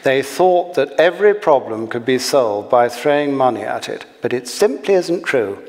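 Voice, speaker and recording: A man's voice: mid-range voice, expressive, moderate pace, a little mellow, natural voice. An English man voicing a haughty, disapproving princess in a satirical novel, pompous and imperious. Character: haughty, silly, disapproving princess, grand and humourless. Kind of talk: satirical novel read aloud. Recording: echoey hall, clear background noise.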